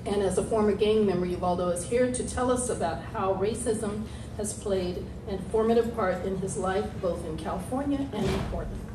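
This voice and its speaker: A woman's voice: mid-range voice, moderate pace, roomy sound, far from the mic, background noise. A woman moderator introducing a panel speaker and encouraging the audience to be supportive. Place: large hall.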